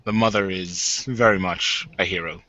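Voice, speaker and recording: A man playing a gruff, sardonic werewolf warrior bridegroom in a tabletop game, with a dry, teasing, grumbling manner. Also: sits low, fairly even intonation, normal speed, pushed and bright, dry recording, highs cut off. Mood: solemn, grumbling.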